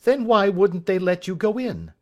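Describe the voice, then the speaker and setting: Brief: male reader as a fussy, exclaiming schoolmaster, pitched up, lively swings. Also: normal speed, muffled recording. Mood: eager. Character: eager excitable adult male schoolmaster.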